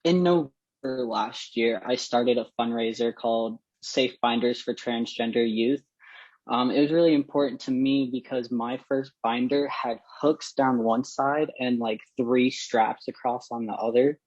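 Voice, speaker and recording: A man of twenty-one who organized a fundraiser, speaking haltingly with many fillers in a casual interview. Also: steady tone, a little mellow, dry recording. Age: 20s. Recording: dull, dry low-fi recording.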